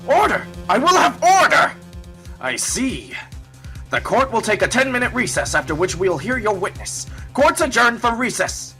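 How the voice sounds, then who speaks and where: A man's voice: very high voice, very animated, normal speed, bright, forceful tone, faint music behind, full character voice. A man playing a stern, pompous elderly judge in an amateur courtroom game fan dub, speaking in a formal, declamatory way. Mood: commanding. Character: stern pompous elderly male judge presiding over a trial.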